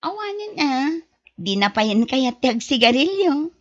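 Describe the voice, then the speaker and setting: A woman plays a worried, scolding woman of a Filipino family in an amateur audio drama. Her voice is fairly high, with a Filipino accent. The sound is very dry and somewhat dull. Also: very animated, moderate pace, dry recording. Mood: reassuring. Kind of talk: amateur Ilocano radio-style drama acting.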